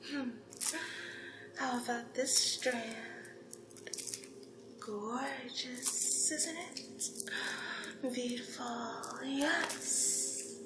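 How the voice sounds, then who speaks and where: Woman playing a seductive demon hostess, ASMR roleplay, breathy half-whispers, high pitch, teasing and gracious, roomy echo. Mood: teasing, alluring. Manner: slow, breathy half-whispers with lingering repeated words. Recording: roomy echo, clear background noise.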